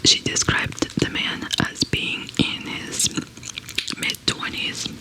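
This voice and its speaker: Slow whispering woman recounting a true crime case. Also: rough recording. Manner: soft unvoiced whisper, close to the mic; crocheting while she talks. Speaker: ASMR host. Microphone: large studio condenser mic close to her face.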